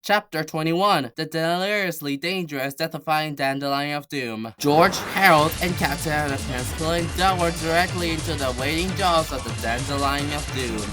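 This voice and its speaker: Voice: mid-range voice, moderate pace, hardly any pauses, music in the background. A narrator reading a scripted cartoon story aloud.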